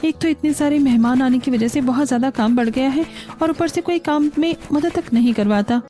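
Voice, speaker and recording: A woman's voice: high voice, brisk, dark tone, close to an everyday voice. A woman voicing an obliging, put-upon young daughter-in-law in a cartoon, speaking politely. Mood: weary, fed up. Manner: polite, accommodating. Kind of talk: Hindi cartoon dialogue.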